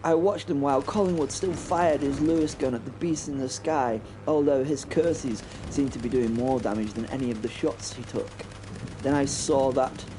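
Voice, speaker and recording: An actor playing a haunted but plucky biplane pilot, telling his story in a halting, wryly understated horror audio drama monologue. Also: medium pitch, noisy background, lightly acted. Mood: anxious. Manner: halting, repeating words, wry understatement. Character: British First World War biplane pilot, haunted, plucky, recounting battle.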